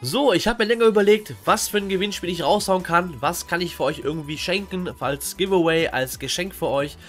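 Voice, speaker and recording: A man's voice: high-pitched, a little fast, soft background music. A man hosting a vlog in casual, enthusiastic commentary, addressing viewers like friends. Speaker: YouTube host, flea market collector.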